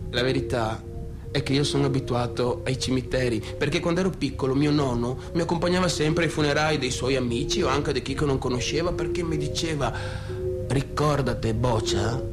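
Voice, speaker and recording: An actor playing a brash, unsettled only son with a Venetian accent, talking colloquially and repetitively straight to the audience in a radio drama. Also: male voice, mid-range voice, close to an everyday voice. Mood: defensive, confiding. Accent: Venetian, northeastern Italian. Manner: colloquial, repetitive, swinging between clowning and defensiveness. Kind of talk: theatrical radio-drama monologue addressed to the audience.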